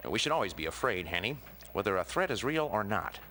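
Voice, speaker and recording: A man voicing a nervous male rooster expert who lectures quickly in a cartoonish game cutscene. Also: on the low side, big pitch swings, pushed and bright, slight background noise. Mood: alarmist. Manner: fast, alarmist lecturing.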